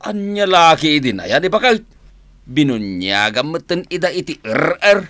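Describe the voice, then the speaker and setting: A man's voice: fairly high pitch, sing-song, a little slow, fairly bright tone, no room sound. A man in a radio comedy drama playing a stubborn, joking husband who likes his drink and shrugs off illness.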